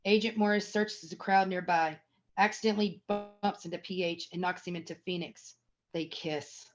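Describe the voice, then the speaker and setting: A woman's voice: fairly low pitch, fairly even intonation, normal speed, lo-fi sound, faint music behind. A woman reading stage directions as the narrator of a scripted screenplay table read.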